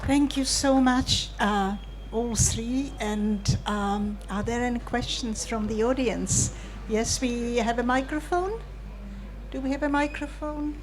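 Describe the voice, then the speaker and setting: A woman moderating a live panel, politely and haltingly handing questions over to speakers and audience. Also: fairly high pitch, slight background noise.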